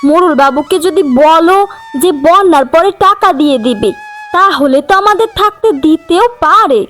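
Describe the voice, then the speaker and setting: A female actor plays a poor village wife in a cartoon fairy tale, caring and persuasive. Her high voice moves in expressive swings. Music plays behind her. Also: normal speed. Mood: coaxing. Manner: coaxing, expressive. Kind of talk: cartoon folk tale dialogue. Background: background music.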